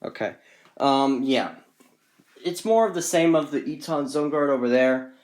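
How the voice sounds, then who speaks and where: A man's voice: medium pitch, a little slow, darkish tone, a little hiss or hum. A man casually presenting a collection in an off-the-cuff, rambling, matter-of-fact way, recorded on a handheld phone. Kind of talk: casual off-the-cuff show-and-tell.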